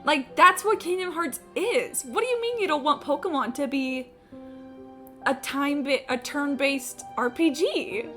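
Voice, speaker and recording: A woman's voice: high-pitched, unhurried, bright, forceful tone. A woman chatting casually as a live streamer, opinionated, emphatic and free with swearing. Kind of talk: casual chat while gaming. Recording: game music in the background. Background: video game music.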